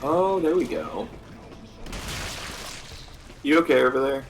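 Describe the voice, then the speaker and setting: A man's voice: mid-range voice, expressive, takes it slowly, noisy background. A man playing a co-op game with a friend, chatting casually and bickering in a dry, matter-of-fact way. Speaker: co-op gaming partner. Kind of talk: casual co-op gameplay chat.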